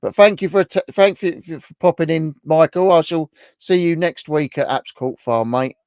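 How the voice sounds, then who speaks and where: A man's voice: a little high, normal speed, darkish tone, dry recording, clean studio sound. A man hosting a casual livestream from his garden shed, teasing his co-host and laughing as he signs off. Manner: teasing, laughing, chatty sign-off. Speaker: channel host.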